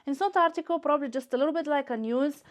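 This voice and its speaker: A woman from Bulgaria with a high voice and a Bulgarian accent speaks as a shopping vlogger. She chats casually to her viewers in a gushing way, often doubling up words for emphasis. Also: fast talker. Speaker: YouTube shopping and unboxing vlogger. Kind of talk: casual chat to camera.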